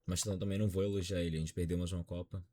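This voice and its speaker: A man's voice: low voice, little pitch movement, racing, mellow. A Brazilian man in his twenties with a Brazilian accent, giving a dejected, frank football opinion vlog. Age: 20s. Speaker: football commentator.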